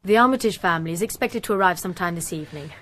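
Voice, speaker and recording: A woman's voice: fairly low pitch, animated, racing, fairly bright tone. A woman acting a matter-of-fact character passing on police news in a radio drama. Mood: informative, amused.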